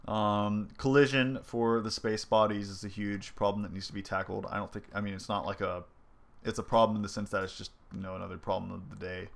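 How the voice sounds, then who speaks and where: A man's voice: fairly low pitch, level tone, a little fast, vocal fry. Congested young man rambling through a devlog. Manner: rambling, many ums and likes, thinking aloud. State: stuffy, congested. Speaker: indie game developer. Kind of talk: casual devlog commentary over gameplay.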